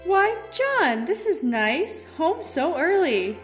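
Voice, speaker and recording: A woman plays a sweet 1930s housewife in a radio play, affectionate and teasing. Her voice is high and lively, unhurried, with music behind. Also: exaggerated voice. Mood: cheerful, teasing. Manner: sweet, teasing, bright-mannered. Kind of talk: old-time radio acting.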